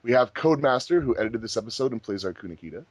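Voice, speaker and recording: A man's voice: mid-range voice, expressive, racing, no room sound. A man hosting a group commentary track in a casual, chatty style. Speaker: host of a fan dub commentary track.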